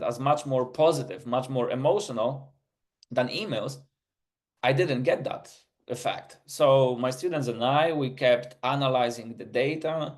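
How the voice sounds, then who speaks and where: Man with a non-native English accent. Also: medium pitch. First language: not English; English is his third language. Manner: earnest storytelling with frequent restarts. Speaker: researcher presenting a webinar. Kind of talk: presentation talk, story-driven.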